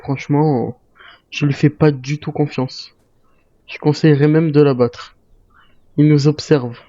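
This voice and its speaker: Mellow, higher-voiced man on dull dry mic. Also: fairly even intonation, measured pace. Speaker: gamer in a zombie survival roleplay. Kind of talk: improvised in-game roleplay chat.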